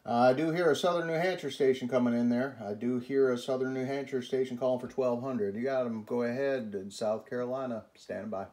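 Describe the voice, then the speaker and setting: A man's voice: mid-range voice, steady tone, fairly quick, few pauses. A man working as a CB radio operator, making casual, polite contact calls and reading out call signs in radio patter. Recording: very noisy radio static, clicks. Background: loud CB radio static.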